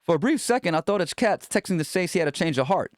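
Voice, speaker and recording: A man narrates a memoir in a slightly high voice with very animated intonation. The delivery is blunt, wry and conversational, and the sound is dry and close. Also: quick pace, no echo. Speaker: narrator reading a book chapter aloud. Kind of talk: scripted first-person memoir narration.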